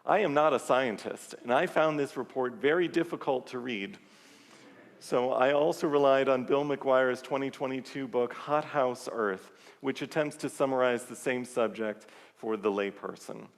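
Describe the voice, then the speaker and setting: A man leading a church service, giving a sermon-style reflection to the congregation in an earnest, measured way. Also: medium pitch. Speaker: service leader. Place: large church sanctuary. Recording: long reverb of a large church hall.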